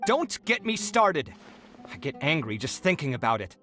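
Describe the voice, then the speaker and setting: A man's voice: fairly high pitch, very animated, moderate pace, bright, forceful tone. An actor playing a proud, easily exasperated young male architect in a video game, haughty and dramatic. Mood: irritated. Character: proud, irritable male architect, young adult, theatrically indignant. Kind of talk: English video game dialogue. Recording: music playing behind the dialogue.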